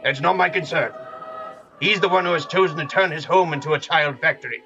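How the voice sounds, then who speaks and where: A man's voice: on the high side, fairly quick, dull audio, noisy background, lightly acted. A man playing a miserly, grumpy old man in an audio play, with an indignant, curmudgeonly manner. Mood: indignant, defiant.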